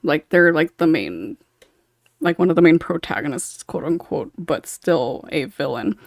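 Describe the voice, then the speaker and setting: Female podcast host, slightly low voice, chatty and giggly, very dry recording. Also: animated, unhurried, creaky, no echo. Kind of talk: casual panel discussion.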